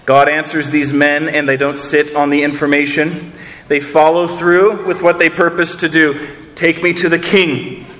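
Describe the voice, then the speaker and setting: Earnest pastor preaching in an echoing hall. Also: male voice, on the high side, little pitch movement, moderate pace, fairly bright tone. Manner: earnest, rhetorical questions, repeats words. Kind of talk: sermon.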